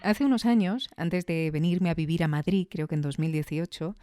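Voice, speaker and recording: A woman from Spain with a Spanish accent, talking reflectively and conversationally as a podcast host, pausing thoughtfully for emphasis. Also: fairly low pitch, mellow, clean tone, no echo, clean studio sound. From Granada, Spain.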